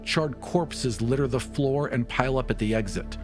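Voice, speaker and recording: A man narrating a tabletop game as game master, in a deliberate, dramatic, descriptive style. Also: mid-range voice. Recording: music behind the voice.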